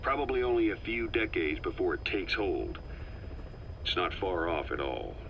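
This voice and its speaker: An actor playing a shrewd male intelligence operative, delivering a measured, earnest briefing in a video game tape. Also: low-pitched, big pitch swings, lo-fi sound, a little hiss or hum.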